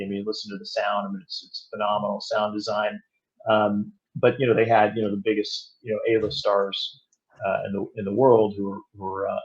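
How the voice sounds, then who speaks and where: Guy with lowish voice, interview guest explaining stuff, lots of restarts n you knows, kinda muffled lofi sound. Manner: halting, thoughtful explaining with frequent restarts and "you know". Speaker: audio drama producer and director, interview guest. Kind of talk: casual interview talk. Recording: dull, lo-fi audio.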